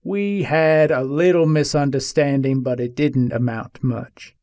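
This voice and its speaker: A man playing a jailed Old West gunfighter in a dramatic reading, giving his account in a measured, matter-of-fact way. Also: mid-range voice, fairly even intonation, darkish tone, no room sound, studio quality, lightly acted. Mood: matter-of-fact, guarded. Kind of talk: dramatic reading of an 1882 newspaper interview.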